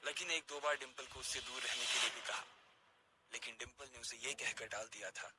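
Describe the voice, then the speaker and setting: A man's voice: medium pitch, steady tone, brisk, over loud music. A man narrating a scripted story as its narrator.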